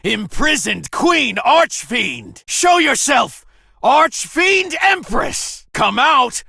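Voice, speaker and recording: An actor voicing a fierce male street-gang duelist in an anime video game, with gravelly, forceful, theatrical battle lines. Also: very high voice, sing-song, dry recording, studio quality, full character voice. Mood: commanding. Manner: theatrical, drawn-out battle cries.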